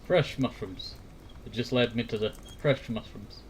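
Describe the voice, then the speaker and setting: A man with a mid-pitched voice rambles in a casual, homemade talk. He circles back over words and phrases and now and then reads aloud. There is clear noise in the recording. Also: a little slow. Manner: meandering, repeats words and verbal tag phrases. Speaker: host of a fireside talk series. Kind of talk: rambling casual chat, some reading aloud.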